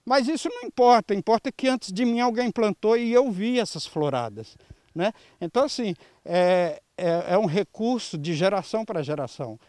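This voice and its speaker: A Brazilian man in his early sixties answering an interview earnestly and haltingly through a face mask, into a handheld microphone. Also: high-pitched, lively intonation, darkish tone, no room sound, studio quality. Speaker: environmental volunteer leader. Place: outdoors in a grassy clearing. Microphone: handheld reporter microphone.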